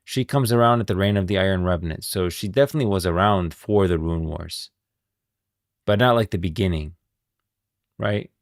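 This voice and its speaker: A man's voice: low voice, normal speed, no room sound, clean studio sound. A man streaming casual game lore commentary, rambling and thinking aloud as he goes, often repeating himself. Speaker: streamer, host.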